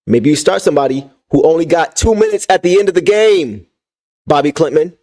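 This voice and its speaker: A young man with a fairly high voice that has turned hoarse hosts a live sports stream. He vents emphatically, repeats his points for weight, and talks straight to the people in his chat. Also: lively intonation, brisk, darkish tone. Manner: emphatic, repetitive venting, reads chat. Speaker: sports fan channel host. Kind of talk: livestream sports rant.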